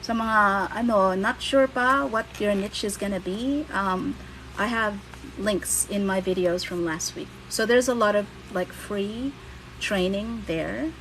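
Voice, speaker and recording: A woman's voice: medium pitch, unhurried, a bit bright, a little hiss or hum, low-quality recording. A woman in her thirties from the Philippines with a Filipino accent, hosting a casual livestream and giving blunt, candid career advice with the odd casual swear. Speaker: livestream host and career coach.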